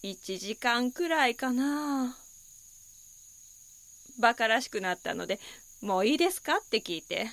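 A woman's voice: fairly high pitch, animated, a little slow, long pauses, fairly bright tone, no room sound. Offhand Kansai local woman, dry sound. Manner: candid, offhand. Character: casual local woman, candid, mildly dismissive. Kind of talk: Japanese audio drama dialogue.

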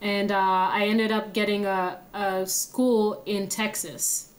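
A young woman from Kenya, a guest telling her own story in a friendly, steady way. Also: mid-range voice, slow. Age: young adult. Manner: friendly, steady, laughs easily. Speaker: guest, app founder.

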